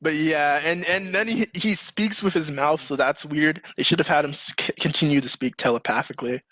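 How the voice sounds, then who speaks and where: A man's voice: on the high side, a little fast, pushed and bright, no room sound. A man co-hosting a podcast in casual chat, with a giggly manner of talking. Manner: giggly, laughs often while talking. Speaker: podcast co-host. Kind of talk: casual chat about video game movies.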